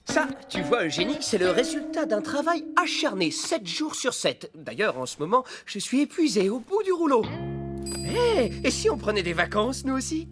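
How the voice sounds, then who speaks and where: A woman's voice: fairly high pitch, very animated, normal speed, music in the background. A woman voicing a self-important, earnest animal in a cartoon dub, with a pompous way of talking. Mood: boastful, weary. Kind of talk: French cartoon dubbing.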